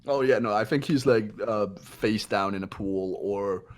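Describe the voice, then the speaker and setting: Blunt, low-voiced man chatting. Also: moderate pace. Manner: blunt, sweary. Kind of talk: casual chat, game commentary.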